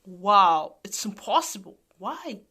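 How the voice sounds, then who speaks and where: Slow bright-voiced Russian woman. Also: mid-range voice, big pitch swings, breathy edge. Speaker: vlogger. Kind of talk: talking to camera.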